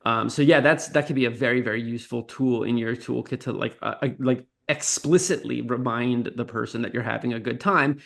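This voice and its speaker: A man who teaches communication speaks as an interview guest, explaining in a teacherly way. His voice sits at an ordinary middle pitch. He checks in with frequent tag questions. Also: a little fast, creaky, hardly any pauses, fairly bright tone.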